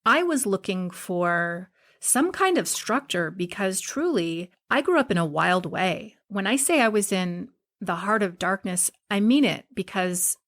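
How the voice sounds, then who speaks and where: A woman's voice: medium pitch, lively intonation, measured pace, a bit bright. A middle-aged woman from Hawaii, a guest on a podcast, telling candid stories about her life and often laughing as she talks. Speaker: podcast guest, spiritual teacher. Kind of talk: casual interview conversation.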